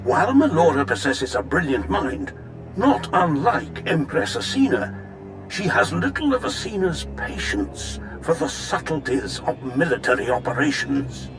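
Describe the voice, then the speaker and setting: Swooping, courtly imperial officer. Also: male voice, fairly high pitch, sing-song. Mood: concerned, formal. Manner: courtly, formal, deliberate. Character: deferential, flattering male imperial fleet officer. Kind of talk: scripted video game dialogue. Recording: music behind the voice.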